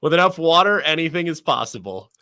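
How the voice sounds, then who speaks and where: A man's voice: a little high, big pitch swings, fairly quick, bright, forceful tone, no room sound. A man speaking as a sports announcer guest in a conversational podcast interview, talkative and emphatic.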